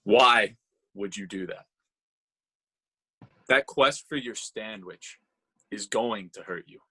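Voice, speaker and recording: A man voicing a calm coworker and reformed sandwich thief who preaches moving on, in a slightly husky voice. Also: fairly low pitch, slow pace, exaggerated voice. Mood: soothing. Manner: calm, preachy, dramatic swings. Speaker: voice actor. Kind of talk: amateur dramatic reading.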